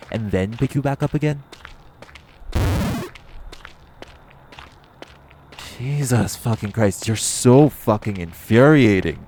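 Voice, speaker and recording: A man's voice: lowish voice, a little mellow, slight background noise, lightly acted. A man playing a cocky, teasing vampire in an audio roleplay, bantering with a hunter in a smug, sardonic, flirty way and swearing freely. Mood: exasperated. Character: cocky, teasing, hungry male vampire carrying his hunter rival. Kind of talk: audio roleplay acting, addressed to the listener.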